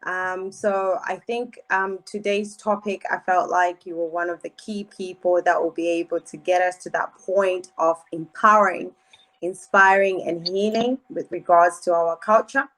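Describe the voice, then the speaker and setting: Female radio host, lower-pitched, emphatic interviewer. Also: fairly even intonation, unhurried, a bit bright, poor audio.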